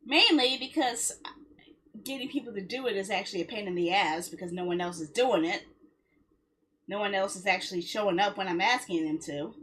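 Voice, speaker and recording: A woman chatting casually on a livestream in a rambling way, with a husky voice. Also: medium pitch, a little fast, a bit bright, slight background noise. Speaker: live streamer.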